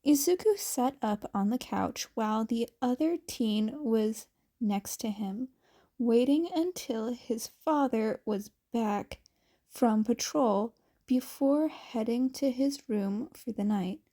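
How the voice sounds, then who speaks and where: A woman's voice: on the high side, takes it slowly, warm tone. A woman reading a story aloud as scripted, audiobook-style narration. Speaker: audiobook-style fan fiction reader.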